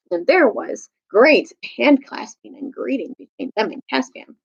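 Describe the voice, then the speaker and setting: A woman reads a children's story aloud in a high, expressive voice with lots of pitch movement. She trips over the odd long word, and the recording is slightly dull. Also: vocal fry, husky. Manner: stumbles over longer words. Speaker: storytime reader.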